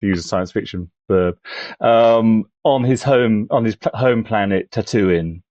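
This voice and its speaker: A man talking as a podcast panellist, thoughtful and halting, building his points gradually in conversation. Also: on the low side, darkish tone, no room sound, dull audio. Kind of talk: conversational film discussion.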